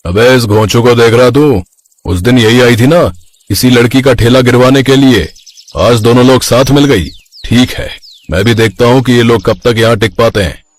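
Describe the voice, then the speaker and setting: A man playing a rough, blustering street thug with a food cart in a children's cartoon. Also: medium pitch, normal speed, no echo, lightly acted. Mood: threatening. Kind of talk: animated children's story dialogue.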